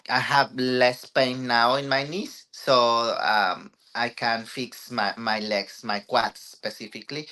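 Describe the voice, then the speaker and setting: Female interview guest, Mexican accent, native Spanish, low clear voice, unhurried and hesitant in phrasing. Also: fairly bright tone. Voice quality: deep, low voice for a woman. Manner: halting, non-native English with repetitions. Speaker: interview guest, bodybuilder and trainer. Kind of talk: casual interview answers.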